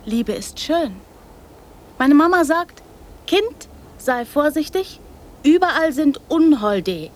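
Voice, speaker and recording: Slow, high-voiced naive girl. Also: animated, a little mellow. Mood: chatty, proud. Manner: halting, simple childlike phrases. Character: naive, trusting, affectionate girl named Anna. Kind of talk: radio drama acting.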